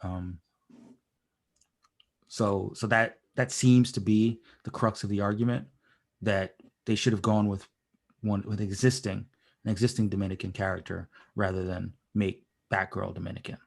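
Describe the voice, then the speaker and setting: A man hosting a conversational pop-culture podcast, speaking in a measured, deliberate way and often repeating phrases as he goes. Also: lowish voice, fairly even intonation, creaky. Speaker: podcast host.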